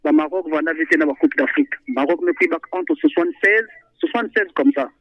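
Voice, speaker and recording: Guy calling into a radio show, lively up and down voice, keeps repeating himself, phone line sound. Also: high-pitched, fairly quick, creaky, no room sound. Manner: animated, repeats himself, frequent hesitations. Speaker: radio call-in listener. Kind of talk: phone-in football chat.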